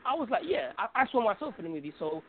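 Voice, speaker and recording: A man's voice: sits high, very animated, quick pace. A man from Algeria chatting casually as a guest caller on a podcast, emphatic and slangy, often repeating his phrases. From Algeria, North Africa. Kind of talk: casual opinionated chat. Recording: phone-like, narrow tinny call sound.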